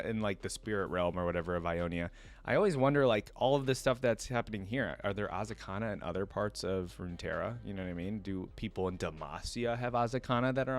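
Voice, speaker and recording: A man hosting a casual reaction video, thinking aloud in an informal way. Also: lowish voice. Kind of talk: casual reaction commentary, some reading aloud.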